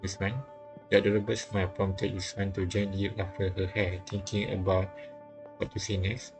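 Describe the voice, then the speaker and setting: Casting: male streamer reading game text aloud, halting, lowish and monotone, music underneath. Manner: halting, stumbles while reading. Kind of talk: live read-aloud of a visual novel.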